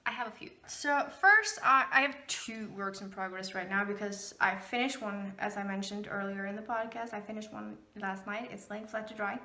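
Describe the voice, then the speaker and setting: A woman's voice: medium pitch, fairly even intonation, normal speed, hardly any pauses, sharp tone. A woman whose native language is Norwegian, hosting a casual knitting podcast and chatting freely with frequent laughs and fillers. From Norway. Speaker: podcast host, knitting designer. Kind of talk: casual chat.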